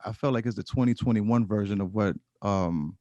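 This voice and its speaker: Male podcast host, low voice, dry close sound, rambling casual delivery full of fillers. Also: moderate pace, mellow, dry recording, lo-fi sound. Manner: loose and rambling, many fillers.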